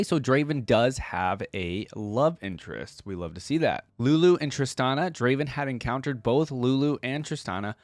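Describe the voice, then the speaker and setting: A man's voice: medium pitch, no echo. A man hosting a reaction video, talking casually and sometimes reading reference text aloud.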